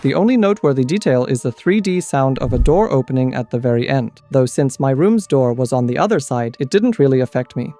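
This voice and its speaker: A man's voice: mid-range voice, expressive, fairly quick, few pauses, warm tone. A man reading scripted explainer commentary as the host of a video essay. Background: background music.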